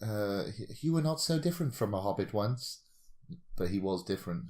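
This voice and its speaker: A man's voice: lowish voice, animated, normal speed, darkish tone. A British man in casual, jokey podcast banter, rambling as he talks. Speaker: podcast co-host.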